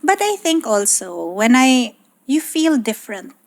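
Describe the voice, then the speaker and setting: A woman's voice: a little high, very animated, a little slow, vocal fry. A woman in her thirties with a Filipino accent, a guest talking thoughtfully in English mixed with Tagalog. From Philippines. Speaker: guest, economist. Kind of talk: casual chat.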